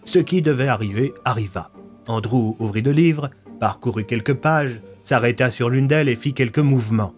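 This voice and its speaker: A man narrates an audio fiction in a medium-pitched voice. His scripted storytelling runs over faint background music. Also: normal speed, darkish tone.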